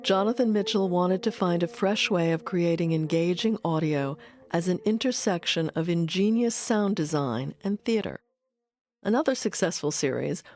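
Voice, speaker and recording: Low-voiced woman narrating, dry studio sound. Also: a little mellow, no room sound. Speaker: documentary narrator. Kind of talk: scripted narration.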